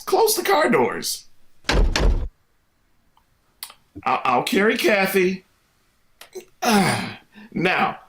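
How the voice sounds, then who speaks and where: Guy doing old radio sitcom dad, slow n folksy, really expressive, reassuring but kinda flustered. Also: high voice, exaggerated voice. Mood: firm, harried. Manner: hesitant, folksy, soothing. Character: cheerful reassuring middle-aged father, flustered but soldiering on. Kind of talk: 1950s family radio comedy acting.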